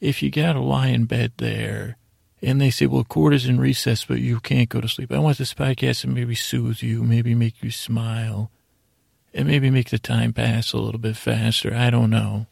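Super creaky husky guy doing a sleep podcast, rambles and trails off, lulling, mid pitch. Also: fairly even intonation, a little mellow, no echo, studio quality. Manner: meandering, halting, self-interrupting, soothing intent. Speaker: podcast host. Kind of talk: rambling bedtime podcast monologue.

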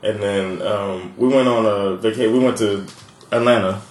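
An American man from Miami with a fairly high voice, telling stories in a casual podcast chat, laughing often and swearing freely. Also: steady tone, normal speed, a little mellow, reverberant. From Miami, USA. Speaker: podcast co-host.

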